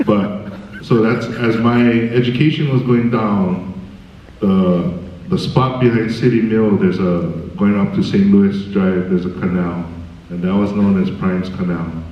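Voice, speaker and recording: Man, very deep voice, joking and easygoing, far from the mic in an echoey hall, dull sound. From American Samoa, raised in Hawaii. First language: Samoan. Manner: joking, laid-back storytelling. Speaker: panel guest artist. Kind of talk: casual Q&A answers. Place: large hall at a film screening.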